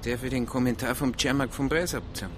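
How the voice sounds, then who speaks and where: A man's voice: medium pitch, steady tone, fast, close to an everyday voice. An actor playing a dry, inquisitive small-town policeman in a radio drama, talking casually in a Bavarian accent. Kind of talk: naturalistic radio drama dialogue. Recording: music behind the voice.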